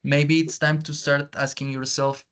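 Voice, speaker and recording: A man's voice: on the high side, level tone, measured pace, fairly bright tone, smooth. A man with a strong Spanish accent, a native Spanish speaker, teaching English and giving feedback on a video call, often restating points in Spanish. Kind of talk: online feedback session.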